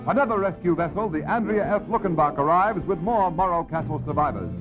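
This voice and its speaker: A man's voice: fairly high pitch, animated, brisk. A man narrating a 1930s newsreel in a solemn, declamatory, dramatic manner. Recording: tinny 1930s newsreel soundtrack, music behind.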